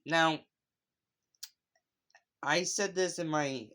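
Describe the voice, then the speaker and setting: A man's voice: on the high side, very slow, bright, forceful tone. Casual, rambling man giving opinions. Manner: rambling, digressive, conversational. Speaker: YouTube video host reviewing animated shows. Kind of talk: casual unscripted opinion vlog.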